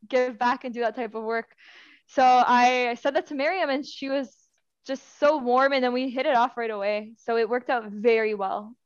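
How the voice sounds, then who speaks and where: An earnest young woman from Afghanistan tells her story in long, winding sentences full of restarts. Her voice sits a little high and sounds smooth and clear. The recording is dry and a touch dull. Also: dry recording. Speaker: guest from a youth education nonprofit. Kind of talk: casual interview chat.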